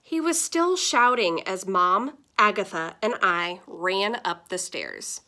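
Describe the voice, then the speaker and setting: Slow, animated woman reading a picture book. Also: medium pitch. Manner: expressive storytelling. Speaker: story reader. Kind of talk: children's picture book read-aloud with asides to listeners.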